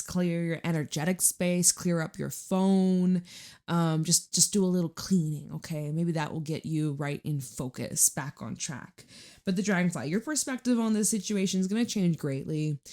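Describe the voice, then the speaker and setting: A woman with a raspy, hoarse voice pitched a little low talks her viewers through a tarot spread. She is chatty and reassuring and swears in passing. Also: warm tone. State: hoarse from screaming. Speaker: tarot reader. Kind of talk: casual tarot reading to viewers.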